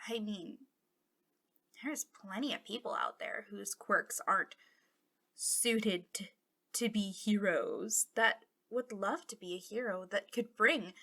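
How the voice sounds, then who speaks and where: A woman voicing a bitter, taunting female villain, a fallen hero, in an ASMR roleplay, breathy and often dropping into whispers, with a mocking, conspiratorial drawl. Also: medium pitch, animated, takes it slowly, pushed and bright, exaggerated voice. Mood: indignant.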